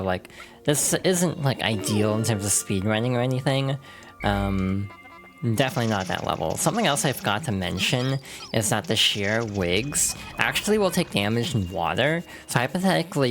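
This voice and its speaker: A VTuber streamer with a hoarse, froggy voice from allergies, chatting casually while playing a game and laughing at their own slips. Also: medium pitch. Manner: chatty and self-deprecating, many fillers, laughs through words.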